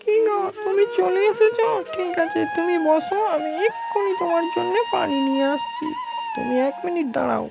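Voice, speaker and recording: A woman plays a cruel cartoon stepmother with a very high voice. The delivery is bossy and sharp-tongued, that of a two-faced household tyrant, with loud music behind. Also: moderate pace, vocal fry, muffled recording. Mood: sweetly attentive. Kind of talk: Bengali cartoon story dialogue.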